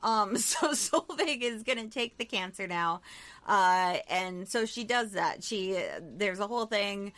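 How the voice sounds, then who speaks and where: A woman's voice: mid-range voice, measured pace, a bit bright. A woman co-hosting a podcast, casually recapping a movie in an irreverent, jokey, sweary way with self-deprecating humor. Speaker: podcast co-host.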